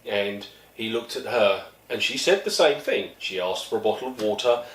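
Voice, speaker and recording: A man's voice: fairly low pitch, animated, moderate pace, fairly bright tone. A middle-aged man from East London, with an East London accent, telling wry, self-deprecating stories in a casual monologue. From East London, England. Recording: echoey room, mic at a distance.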